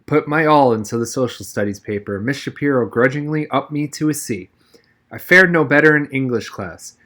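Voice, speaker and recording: A man reading a novel aloud as an expressive narrator, with wry first-person storytelling. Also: medium pitch, normal speed. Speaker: reader, narrator. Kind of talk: expressive audiobook-style read-aloud of a novel.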